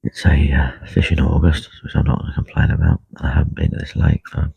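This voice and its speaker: Croaky deep-voiced man chatting quietly. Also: level tone, no room sound. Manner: quiet, unhurried, many ums and uhs. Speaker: carp angler livestreaming. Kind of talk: casual live stream chat. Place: lakeside fishing swim outdoors. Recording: dull lo-fi live stream audio.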